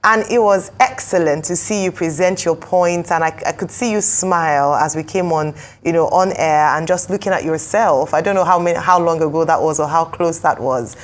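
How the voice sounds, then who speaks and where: A woman's voice: fairly low pitch, moderate pace, few pauses. A woman from Nigeria anchoring a TV news interview in a formal, polished manner. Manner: formal, warm praise. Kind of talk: broadcast interview.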